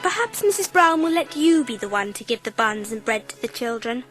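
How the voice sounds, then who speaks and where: A woman's voice: fairly high pitch, big pitch swings, fairly quick, a bit bright, faint music behind. A woman playing a kind, earnest, well-bred little girl in a dramatised storybook, polite and precise. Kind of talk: dramatised audiobook acting.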